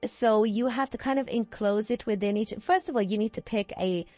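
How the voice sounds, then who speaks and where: A woman's voice: medium pitch, a little fast, darkish tone, no room sound. A young woman giving a casual, rambling voiceover as a game builder, drifting off on tangents and using lots of fillers. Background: faint background music.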